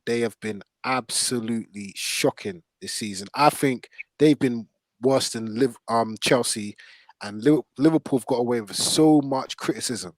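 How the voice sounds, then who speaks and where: A male podcast host talks football in a casual, slangy way. His mid-pitched voice is recorded close and dry, and he leans hard on his points. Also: no echo, poor audio.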